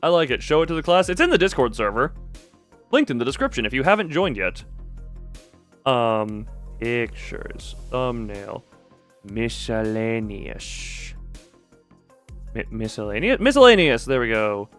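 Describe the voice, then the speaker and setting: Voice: medium pitch, sing-song, measured pace, pauses often, a bit bright, faint music behind. A game stream host chatting casually and rambling while reacting to chat. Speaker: livestream host.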